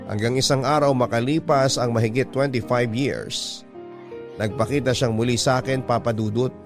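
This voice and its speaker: A man reads as a wistful Filipino storyteller in a radio romance. The voice is medium in pitch, reflective and sentimental. Music plays clearly beneath the narration. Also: steady tone, moderate pace, natural voice. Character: wistful letter sender recounting lost love.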